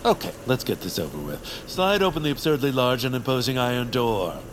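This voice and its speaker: A man plays a pompous, vain baron in a cartoon audio drama. His mid-pitched voice swoops grandly, full of self-importance and showmanship, with faint noise behind. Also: sing-song, brisk, close to an everyday voice. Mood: boastful, airy. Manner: grandiose, theatrical.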